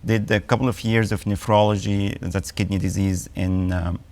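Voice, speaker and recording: A man in his forties with an Egyptian accent, an oncologist speaking as a guest in a TV health interview, measured and full of ums and uhs. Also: fairly low pitch, fairly even intonation, a little mellow.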